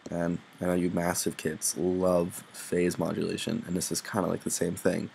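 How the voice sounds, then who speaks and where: Very deep, creaky-voiced casual young man. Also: monotone, normal speed, darkish tone, a little hiss or hum. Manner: informal, sweary, self-deprecating. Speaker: music production tutorial presenter. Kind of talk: casual unscripted screen-recorded tutorial.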